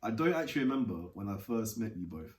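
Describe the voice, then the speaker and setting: A man hosting a casual group chat podcast, talking in a halting way with many fillers. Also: lowish voice, sing-song, recorded from a distance. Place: room with several guests. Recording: roomy and off-mic.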